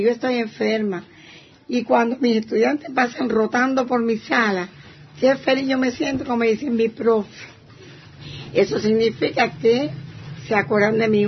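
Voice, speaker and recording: A woman's voice: on the high side, steady tone, moderate pace, vocal fry. An older woman from Santiago de Cuba province with an eastern Cuban accent, a retired teacher giving a personal testimony. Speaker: retired primary school teacher, interviewee. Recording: muffled, faint background noise.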